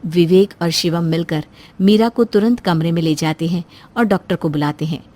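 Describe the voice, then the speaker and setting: A woman's voice: on the low side, moderate pace, dark tone. A woman storyteller reading a scripted moral story as narration.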